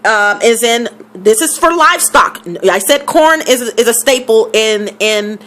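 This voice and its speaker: A woman with a somewhat high voice talks casually to her viewers, hammering home numbers by saying them again. Her throat sounds scratchy, as though she is losing her voice. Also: animated, a little slow, a bit bright. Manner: emphatic, repeats figures for stress. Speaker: vlogger. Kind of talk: casual talk to camera.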